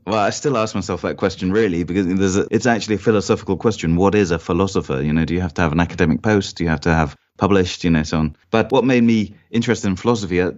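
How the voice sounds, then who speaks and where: Low-pitched British man, slightly dull recording. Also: very fast, few pauses, darkish tone. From Cornwall, Britain. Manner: careful, clear pronunciation. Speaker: philosopher, interview guest. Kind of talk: conversational, reflective interview answers.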